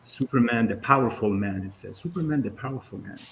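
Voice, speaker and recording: A Syrian-born man in his sixties tells his life story in a relaxed, rambling way, full of "uh"s and restarts. His voice sits at an ordinary middle pitch. A faint hiss of background noise runs under it. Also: a little fast, gravelly. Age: 60s. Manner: conversational storytelling with frequent fillers. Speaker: guest speaker. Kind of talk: casual presentation over video call.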